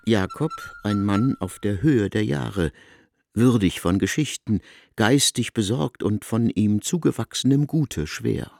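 A man's voice: fairly low pitch, lively intonation, measured pace, creaky, a little mellow, no room sound, faint music behind, heavily acted. A man narrating a literary radio drama in a formal, articulate storytelling manner.

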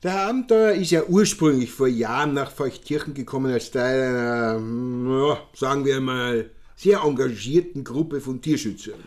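An actor playing a genial, self-satisfied count with an Austrian accent, courtly, chummy and long-winded in a radio drama. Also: male voice, medium pitch, moderate pace, few pauses, a little mellow, natural voice. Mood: smooth, evasive. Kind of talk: German-language radio drama acting.

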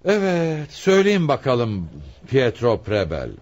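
An actor playing a stern, hard-pressed police commissioner in a radio play, speaking in an insistent, commanding way. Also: male voice, mid-range voice, huge pitch swings, slow, darkish tone, muffled recording, full character voice. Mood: firm, probing. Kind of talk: radio theatre acting.

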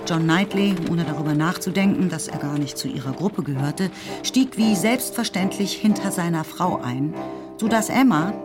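Woman narrating over music. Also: lowish voice. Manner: measured, expressive. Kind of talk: scripted narration of a radio play.

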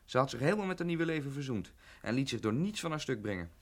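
An actor playing a keen, homesick young student son in a radio drama, talking earnestly. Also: male voice, mid-range voice, sing-song, fast talker. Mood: proud, eager. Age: young adult.

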